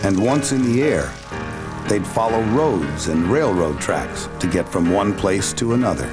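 Low-voiced American male documentary narrator. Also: big pitch swings, measured pace. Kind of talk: scripted narration. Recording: music and aircraft noise underneath. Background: music and aircraft sounds.

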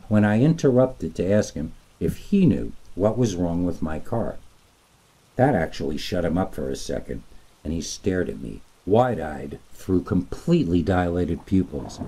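A man reading a scripted scary story as narrator, in a dramatic, deliberate storytelling manner. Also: deep voice, mellow, breathy edge, low-quality recording.

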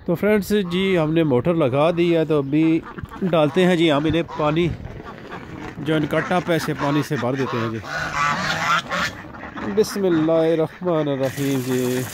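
A man casually narrating a village vlog about his ducks, in a relaxed, chatty way. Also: on the high side, a little slow, long pauses, noisy background. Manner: relaxed, chatty, says "ji" often. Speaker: vlogger. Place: outdoor village yard with ducks.

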